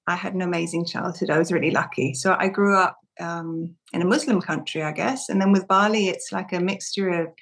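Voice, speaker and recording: A woman in her fifties, raised in Singapore and Indonesia, talking gently and reflectively as a podcast guest, often restarting her sentences. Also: fairly low pitch, fairly even intonation, a little fast, gravelly, few pauses. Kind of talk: casual interview talk.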